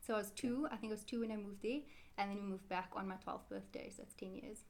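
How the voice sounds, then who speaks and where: A woman's voice: mid-range voice, steady tone, fast talker, slight background noise. A woman, answering interview questions in a chatty, rambling way and correcting herself often. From South Africa. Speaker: interview guest, Quran teacher.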